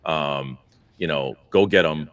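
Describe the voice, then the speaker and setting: Very low-voiced blunt male guest. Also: fairly bright tone, no room sound. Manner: blunt, swears freely, quick asides. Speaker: guest musician and mixer. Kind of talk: casual livestream chat.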